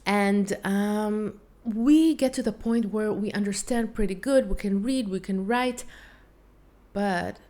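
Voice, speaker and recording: A woman's voice: mid-range voice, slow pace, dark tone. Earnest Hebrew-accented woman talking to camera. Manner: earnest, reflective, small slips in English. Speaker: Hebrew teacher and vocal coach. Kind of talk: personal talk to camera, one take.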